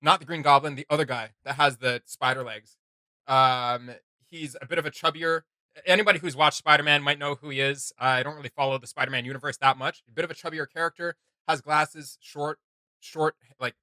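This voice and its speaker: A man's voice: a little high, steady tone, brisk, pushed and bright, no room sound. A man hosting a reaction video, chatting in a rambling way with many fillers. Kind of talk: casual reaction commentary.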